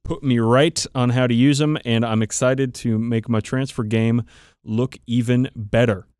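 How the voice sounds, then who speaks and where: A male podcast co-host with a mid-pitched voice, recorded dry and close. He talks casually about his hobby with keen enthusiasm and plenty of swearing. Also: fairly even intonation, measured pace, a little mellow, clear, resonant tone, dry recording. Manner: informal, profane, enthusiastic.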